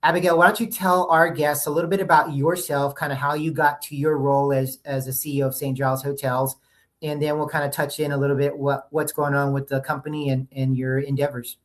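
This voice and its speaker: A man's voice: a little high, flat delivery, fairly quick. Easygoing man hosting a podcast. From United States. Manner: friendly, loose, conversational with fillers. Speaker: podcast host. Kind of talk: casual podcast interview. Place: Texas, remote call.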